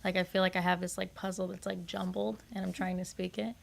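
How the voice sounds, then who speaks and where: A woman's voice: on the low side, level tone, fast talker, vocal fry. A young woman with an American accent chatting casually as a podcast guest, rambling informally with lots of "like". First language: English. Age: young adult. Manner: informal, rambling, frequent use of "like". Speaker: podcast guest, English major. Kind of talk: casual chat.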